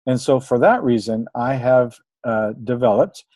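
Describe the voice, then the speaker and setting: A man's voice: mid-range voice, a little slow, warm tone, dry recording. Encouraging man teaching a webinar. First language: English. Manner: encouraging, conversational with fillers. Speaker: language teacher. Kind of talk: webinar presentation.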